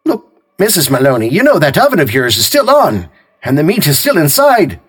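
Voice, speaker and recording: A man voicing a kindly, tired middle-aged police sergeant who speaks in a gruffly polite, obliging way, with a husky voice. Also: a little high, wildly swooping pitch, fairly quick, fairly bright tone, voice pushed up, full character voice.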